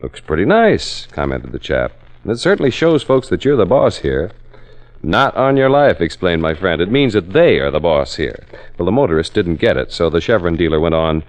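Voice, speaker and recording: A man's voice: deep voice, sing-song, normal speed. A man announcing in 1940s radio style, delivering scripted sponsor messages and credits. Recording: old radio broadcast recording. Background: music.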